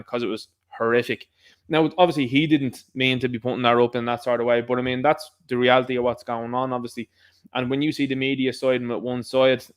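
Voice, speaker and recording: An Irish man hosting his own show, talking through current events in a casual, animated monologue and acting out what other people say. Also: medium pitch, smooth. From Ireland. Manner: conversational and animated, mimicking others in asides. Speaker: host.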